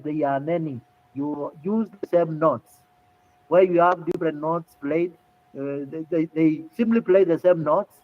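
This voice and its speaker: A man lecturing to students, explaining things deliberately and repeating key points. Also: a little high. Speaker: music lecturer. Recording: tinny phone-like audio.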